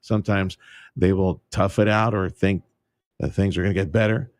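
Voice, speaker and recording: A man hosting a radio talk show, speaking in a relaxed, folksy way with stumbling restarts. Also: low-pitched, moderate pace, no echo, studio quality. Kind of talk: casual radio interview. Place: radio studio. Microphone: studio microphone.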